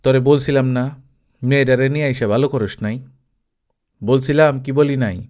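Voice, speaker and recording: A man's voice: mid-range voice, moderate pace, a little mellow, natural voice. A man voicing a scolding, smug old village grandmother who speaks in rustic Bengali dialect with nagging questions, in an audiobook story.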